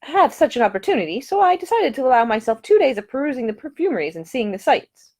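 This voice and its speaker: Chatty young English gentlewoman voiced by a female narrator: raised pitch, very animated, cheerful, solicitous, audiobook romance. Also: quick pace, full character voice. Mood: bright, chatty. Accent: English, upper-class. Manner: eager, solicitous, talkative.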